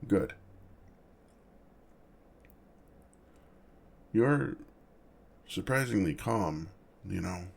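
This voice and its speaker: A man playing an awkward, apologetic, gentle male dragon in an ASMR roleplay, with a gravelly, husky voice and a halting, flustered manner. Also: low voice, animated, heavily acted. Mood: gentle, welcoming. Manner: halting, flustered, self-correcting, measured.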